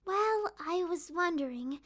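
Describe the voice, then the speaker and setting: A woman voicing a timid, gentle young female pony in a dramatised story, hesitant and shy with stammers. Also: very high-pitched, lively intonation, unhurried, heavily acted. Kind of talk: dramatised fan fiction reading.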